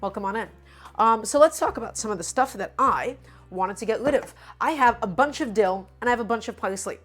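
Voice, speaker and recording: A woman's voice: a little high, animated, a little fast, a bit bright, soft background music. Sniffly, chatty woman hosting a cooking stream. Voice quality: a bit nasal. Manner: upbeat, chatty, greets chat by name. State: slightly sick and sniffly. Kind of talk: live-stream cooking lesson.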